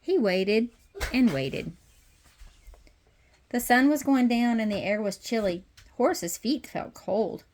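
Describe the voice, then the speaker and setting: A woman's voice: a little high, animated, slow pace, long pauses, a little mellow. Woman reading a storybook, noisy home recording. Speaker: read-aloud host. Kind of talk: storybook read-aloud for children. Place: messy playroom at home. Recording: noisy home room with clicks.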